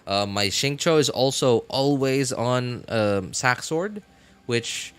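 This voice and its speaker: A man talking casually on a live game stream in a rambling, halting way, often correcting himself. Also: mid-range voice, animated, a bit bright, smooth.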